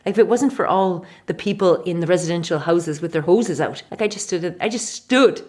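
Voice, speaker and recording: A woman in her forties from Canada, a physiotherapist guest telling stories in a chatty, animated way in a casual interview. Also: lowish voice, fairly quick. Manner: chatty, animated storytelling with laughs.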